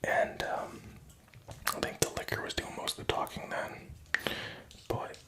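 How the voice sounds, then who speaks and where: A young man whispering a rambling personal story, profane and self-mocking. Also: unhurried.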